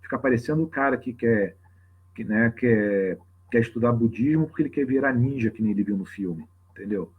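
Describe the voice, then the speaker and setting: A husky-voiced Brazilian man with a mid-range pitch teaches informally on a livestream. He talks off the cuff in a conversational way, dropping in frequent tag questions. The audio is slightly dull and lo-fi. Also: fairly quick. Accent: Brazilian Portuguese. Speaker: religious teacher hosting a live open class. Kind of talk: informal extemporaneous explanation to a livestream audience.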